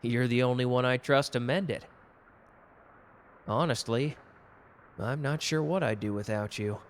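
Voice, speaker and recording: A man's voice: mid-range voice, a little slow, slight background noise, close to an everyday voice. A man playing a cocky, gallant and protective customer who flirts teasingly in a romantic roleplay audio drama. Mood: protective, sincere. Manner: suave, teasing, intimate one-sided conversation.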